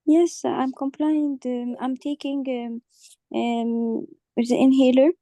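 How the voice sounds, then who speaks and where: A woman playing a hoarse, croaky patient. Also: high voice, flat delivery, dark tone, dry recording. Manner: halting, many pauses and restarts. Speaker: simulated patient in exam practice.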